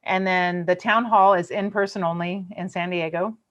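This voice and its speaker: A woman's voice: lowish voice, steady tone, moderate pace, a bit bright. A woman with an American accent hosting a casual live online chat, teasing and playful as she interviews. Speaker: host of a live online show. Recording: dull, lo-fi online call audio.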